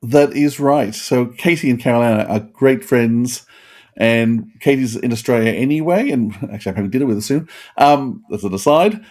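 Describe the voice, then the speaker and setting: A man's voice: mid-range voice, animated, moderate pace, darkish tone. A man co-hosting a podcast, chatting casually and often stammering over repeated words. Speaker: podcast co-host and event organiser. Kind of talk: casual chat.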